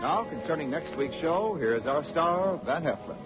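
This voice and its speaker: Higher-pitched American radio announcer man. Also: lively intonation, normal speed. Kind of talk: 1940s scripted radio announcing. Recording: dull old radio recording with music.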